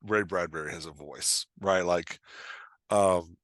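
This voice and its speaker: A man talking casually as a podcast guest and writing coach, explaining in an informal, halting way with emphatic check-in questions. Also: deep voice, fairly bright tone, dry recording, clean studio sound.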